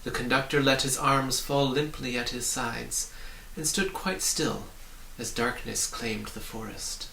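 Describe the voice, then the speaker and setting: A man's voice: mid-range voice, a little slow, vocal fry, pushed and bright. Man narrating a storybook in an echoey room. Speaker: audiobook reader. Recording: roomy, distant, faint hiss.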